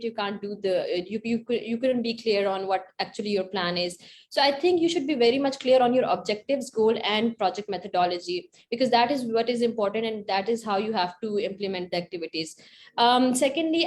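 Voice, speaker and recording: Woman on a panel explaining stuff, kinda high voice, goes back over her points. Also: brisk, darkish tone, a little hiss or hum. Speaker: panelist, grant recipient. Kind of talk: panel discussion, explanatory advice.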